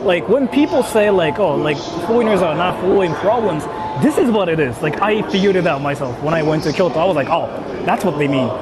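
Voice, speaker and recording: Higher-pitched man streaming over street noise. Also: expressive, brisk. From Japan. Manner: rambling, repetitive, filler-heavy with frequent "like". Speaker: live streamer. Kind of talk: casual livestream chat with viewers. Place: out and about in public while livestreaming. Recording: noisy outdoor urban and traffic ambience.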